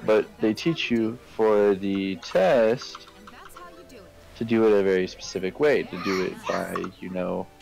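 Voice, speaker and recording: A man in a casual voice chat, explaining things in a rambling, halting way. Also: fairly low pitch, vocal fry, a little mellow. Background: faint music.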